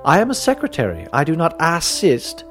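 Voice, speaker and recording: A man voicing a formidable, imperious older female secretary who scolds briskly in a high, put-on voice. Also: wildly swooping pitch, a bit bright, soft background music. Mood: haughty.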